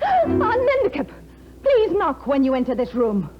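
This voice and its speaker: Young wife, anxious, pleading, high, lively pitch, lo-fi 1950s radio. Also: female voice, a little slow, over loud music, heavily acted. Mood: tense. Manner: tense, pleading, often near tears. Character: anxious young wife, fearful for her husband. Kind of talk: 1950s radio drama acting. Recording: dull 1950s radio broadcast with faint hiss.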